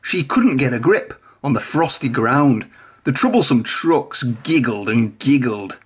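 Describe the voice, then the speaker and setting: A man's voice: mid-range voice, lively intonation, a little slow, breathy edge. A man reading a children's storybook aloud, narrating expressively. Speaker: storybook reader.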